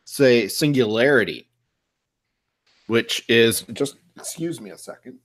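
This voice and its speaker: A man's voice: mid-range voice, measured pace. A man with a cold and a stuffed-up nose, co-hosting a beer review podcast in casual chat, earnest and thinking aloud.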